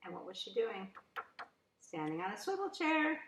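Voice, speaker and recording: A woman reading a picture book aloud to young children as a teacher, very expressively, with drawn-out emphasis. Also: mid-range voice, very animated, a little hiss or hum. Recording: roomy, echoing sound.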